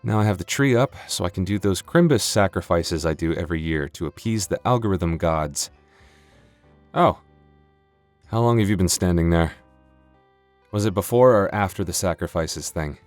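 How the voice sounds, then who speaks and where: A man's voice: low voice, moderate pace, long pauses, darkish tone, no echo, faint music behind. A man chatting casually and comically to the listener as an audio creator, rambling playfully with mock bragging and self-interruptions. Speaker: audio roleplay creator and performer. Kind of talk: casual comedic outro chat addressed to the listener.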